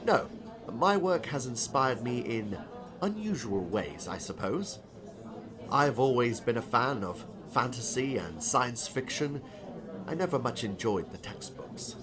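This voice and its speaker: An older retired professor and novelist played by a man, genial and a little corny. His pitch moves expressively as he speaks with courteous, philosophical warmth in an audiobook drama. A crowd murmurs behind him. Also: lively intonation, natural voice. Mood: modest, amiable. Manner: courteous, gently philosophical, measured.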